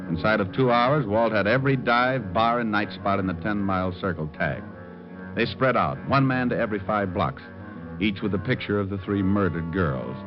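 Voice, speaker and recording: A man's voice: deep voice, lo-fi sound, close to an everyday voice. An American man playing a sardonic, cool-headed private detective in 1940s radio drama style, with dry, flippant delivery. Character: wisecracking, cool-headed male private detective narrating his case. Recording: band-limited vintage radio recording with music.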